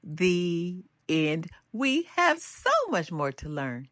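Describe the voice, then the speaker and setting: An older woman reading a children's picture book aloud as a storyteller, with an animated, deliberate delivery and drawn-out refrains. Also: fairly low pitch, no room sound, studio quality.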